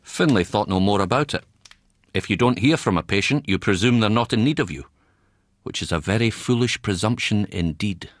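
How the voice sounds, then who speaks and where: An actor playing a wry older country doctor with a Scottish accent, telling the story unhurriedly in a radio drama. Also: male voice, on the low side, lively intonation, normal speed, no room sound, clean studio sound, natural voice. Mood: wry, knowing. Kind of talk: radio drama narration and dialogue.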